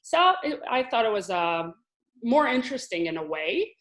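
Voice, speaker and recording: A woman's voice: a little high, sing-song, moderate pace, fairly bright tone. A woman in her thirties, originally from Israel, talking casually as an interview guest about her life, and laughing often. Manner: chatty, laughs often, with restarts. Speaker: Hebrew teacher, guest.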